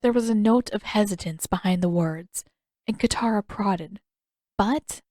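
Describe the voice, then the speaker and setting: A woman's voice: medium pitch, moderate pace, dark tone, dry recording, clean studio sound. A woman reading scripted audiobook narration as the narrator of a story. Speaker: narrator, fan fiction author reading own work.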